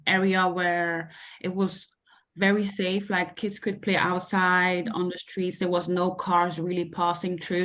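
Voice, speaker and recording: A woman's voice: lowish voice, fairly bright tone. A young woman from Belgium answering interview questions about her sports coaching, talking hesitantly with many fillers. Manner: hesitant, many ums and likes. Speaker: sports coach and mentor, guest.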